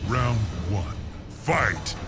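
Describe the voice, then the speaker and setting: A man playing a commanding fighting game announcer, booming and emphatic with drawn-out words. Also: lively intonation, over loud music.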